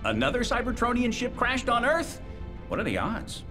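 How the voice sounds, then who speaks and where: Chirpy young robot scout, high and bouncy, loud music. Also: male voice, huge pitch swings, normal speed, full character voice. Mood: eager.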